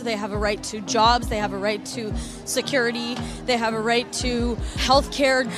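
Firm, insistent woman activist. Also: fairly high pitch. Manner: firm and insistent, repeating phrases. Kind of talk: impassioned statement to a reporter.

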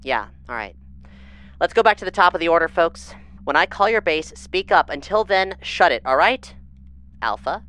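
Audio drama: impatient female base boss, deep register, blunt and commanding. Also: sing-song, normal speed, fairly bright tone. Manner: blunt, bossy. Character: brusque, impatient woman base leader running roll call.

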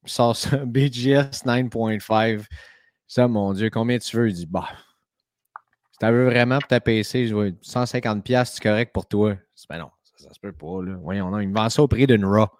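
A man's voice: on the low side, normal speed, no room sound. Chatty Québécois man, dry close sound. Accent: Québécois French. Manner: animated storytelling, frequent "tu sais". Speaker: podcast host. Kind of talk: casual chat.

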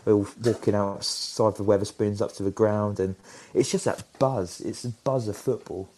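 A chatty man talks eagerly about football as a podcast co-host. His voice sits fairly low, and he rambles with plenty of fillers. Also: steady tone, normal speed, dark tone. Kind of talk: casual football chat.

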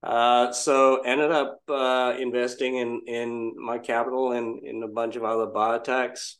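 A man's voice: mid-range voice, level tone, unhurried, fairly bright tone. Reflective older man from Malaysia. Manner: reflective, self-deprecating, wry. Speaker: guest entrepreneur. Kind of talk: casual interview storytelling.

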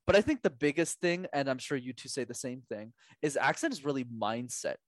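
A man who coaches public speaking, talking as a podcast guest in an energetic, emphatic way. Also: mid-range voice, a little fast, no room sound. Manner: energetic, emphatic, animated explanations. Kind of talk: casual interview chat.

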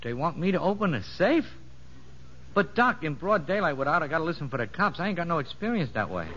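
An actor playing a cocky, streetwise convict safecracker in a 1950s radio drama, talking in slangy, ungrammatical boasts. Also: male voice, fairly high pitch, very animated, quick pace, a bit bright. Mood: wry, curious. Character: cocky wisecracking convict safecracker with a soft spot. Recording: noisy, muffled old radio broadcast.

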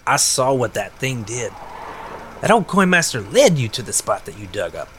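An actor playing a nosy, pushy small-town conspiracy nut in an audio drama, with a folksy, insistent Mississippi Southern accent. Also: male voice, medium pitch, very animated, normal speed, fairly bright tone, a little hiss or hum. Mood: prying, excited. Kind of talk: audio drama dialogue acting.

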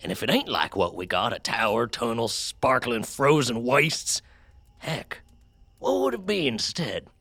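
An actor playing a folksy, skeptical working man in an audio drama, rambling colloquially and conspiratorially in a gravelly voice. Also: medium pitch, very animated, unhurried, a bit bright, exaggerated voice. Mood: musing, grumbling.

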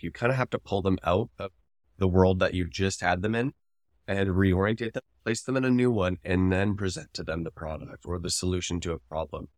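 A man's voice: deep voice, no echo, studio quality. A man co-hosting a podcast, talking casually and analytically as he explains his opinions. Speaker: podcast co-host.

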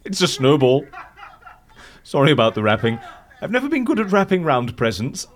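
A man playing an eccentric, witty and excitable fixer in an audio drama, with theatrical, wry delivery. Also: on the high side, moderate pace. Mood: eager.

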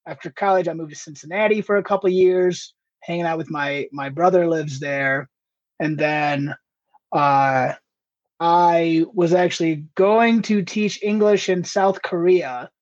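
A man's voice: on the high side, unhurried. A man from Ohio, a stand-up comic, chatting casually and rambling on a podcast. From Ohio, US Midwest. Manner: rambling, laughs easily. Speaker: stand-up comedian, guest. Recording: dull lo-fi sound.

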